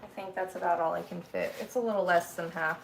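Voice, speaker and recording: A woman hosting a cooking livestream from her home kitchen, with a raspy voice from feeling a little sick, chatting loosely as she explains each step. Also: on the low side, fairly even intonation, quick pace. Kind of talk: casual cooking livestream chat. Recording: noisy home kitchen recording.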